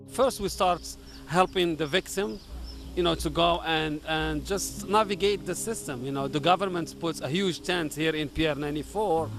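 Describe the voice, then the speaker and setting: Earnest man from Morocco, music beneath. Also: a little high. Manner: earnest, halting with repeated restarts. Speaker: interviewee, community leader. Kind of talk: recorded interview.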